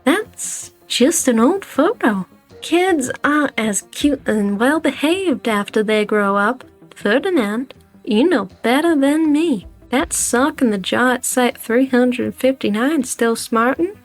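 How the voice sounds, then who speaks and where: A woman's voice: high voice, lively intonation, takes it slowly, full character voice. A woman voicing a composed, wry company director, coolly evasive and defiant, in a game fan dub. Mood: dry, needling. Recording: music behind the voice.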